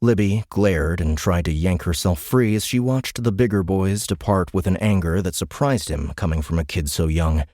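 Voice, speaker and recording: A man with a deep voice reads a science fiction story as an audiobook narrator. His delivery is scripted and dramatic. The sound is dry, with hardly any room around it. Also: moderate pace, hardly any pauses, mellow, dry recording.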